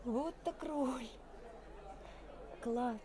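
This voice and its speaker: An actress playing a lively, teasing young fiancée in a 1980s radio play, with theatrical delivery. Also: female voice, high voice, very slow, a crowd in the background. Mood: admiring, playful. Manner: theatrical, playful.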